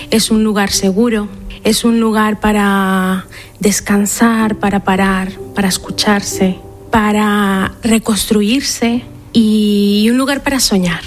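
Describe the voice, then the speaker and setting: Colombian woman in her thirties, interview guest, earnest and measured, mid-pitched voice. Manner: earnest, measured, with repeated restarts. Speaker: director of a women's shelter, interview guest. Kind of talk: interview answers.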